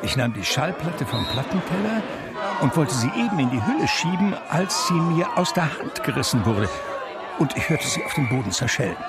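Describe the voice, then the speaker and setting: An actor playing a blind, reflective man who narrates his life in a radio drama, deliberate and expressive in his telling. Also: a little high, a loud crowd, very noisy. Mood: tense recounting.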